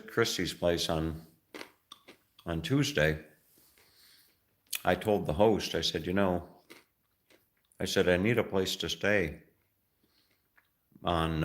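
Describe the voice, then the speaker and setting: A middle-aged man from the United States talking casually as a travel vlogger, rambling and halting with many fillers and restarts. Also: low voice. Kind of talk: casual vlog talk.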